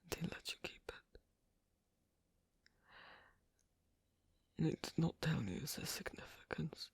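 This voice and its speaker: A man playing a gentle, worried royal of a fantasy kingdom in an ASMR story roleplay, speaking in a breathy, husky whisper with halting, formal phrasing. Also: a little high, expressive, no echo, heavily acted. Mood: quietly amazed.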